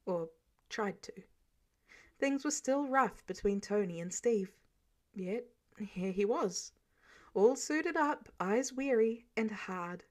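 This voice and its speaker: A woman reads fiction aloud as an amateur audiobook narrator. Her voice sits at a medium pitch. The delivery is scripted storytelling.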